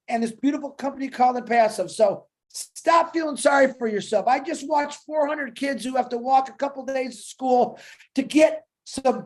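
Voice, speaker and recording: A woman giving an impassioned motivational talk. She speaks emphatically, sometimes nearly shouting, and keeps punctuating herself with "all right" and "okay". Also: high-pitched. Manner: emphatic, with bursts of loud exclamation.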